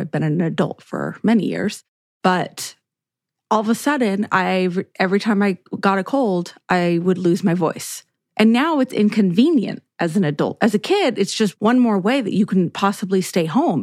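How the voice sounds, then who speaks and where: A woman's voice: dry recording, studio quality. A woman hosting her own skincare podcast, talking casually to listeners and laughing often as she goes. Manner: chatty, laughs often, lively pitch swings. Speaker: podcast host, esthetician. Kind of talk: casual solo podcast chat.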